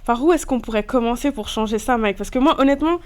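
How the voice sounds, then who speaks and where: A woman's voice: a little high, fast talker, darkish tone. A woman hosting a podcast, chatty and encouraging, with many small agreements. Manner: chatty and encouraging, frequent backchannels. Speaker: podcast host. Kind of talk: casual interview chat.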